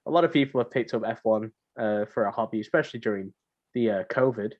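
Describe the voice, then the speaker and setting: A man's voice: lowish voice, fast, muffled recording. A man hosting a casual podcast chat, somewhat hesitant and often repeating his phrases. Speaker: podcast host.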